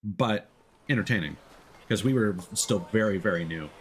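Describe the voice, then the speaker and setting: A man's voice: lowish voice, normal speed, slight background noise. A man livestreaming casual gameplay commentary, thinking aloud in a playful way. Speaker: live streamer.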